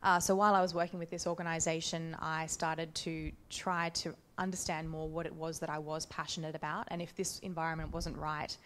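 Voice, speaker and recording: A woman speaking on a conference panel, reflecting thoughtfully on her career with measured phrasing and frequent restarts. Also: sits low, little pitch movement, fairly bright tone, slight background noise. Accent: Australian. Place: conference hall.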